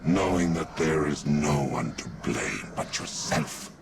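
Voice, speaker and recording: A cold game supervillain with an extremely deep, creaky voice. He taunts slowly and ominously, sounding distant amid clear background noise. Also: exaggerated voice. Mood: cruel taunting. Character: menacing, cold male supervillain obsessed with fear. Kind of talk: video game villain acting. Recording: distant voice in noisy ambience.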